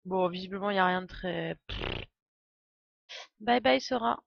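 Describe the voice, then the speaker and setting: A woman's voice: lowish voice, normal speed, gravelly, no room sound. A woman giving casual, joking, irreverent live commentary as a game streamer. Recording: dull, lo-fi microphone sound.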